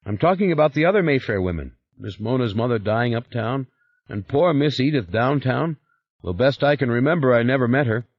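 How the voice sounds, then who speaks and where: A man voicing a worried, deferential male house servant who rambles quickly in a raised voice. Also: medium pitch, expressive, no room sound, lo-fi sound, voice pushed up. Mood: anxious, rambling. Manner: fast, rambling, eager.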